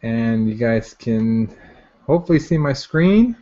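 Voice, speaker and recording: A man's voice: medium pitch, lively intonation, measured pace, dark tone. A man hosting a live product launch webinar in a casual, unscripted way, informally repeating his phrases as he goes. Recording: dull, lo-fi webinar audio.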